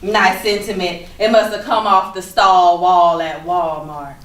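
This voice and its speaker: A woman acting a brisk, prim older aunt who chides emphatically in a staged reading. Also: fairly low pitch, fairly even intonation, fairly bright tone. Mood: exasperated. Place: live staged reading in a room. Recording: echoey room, distant mic, faint noise.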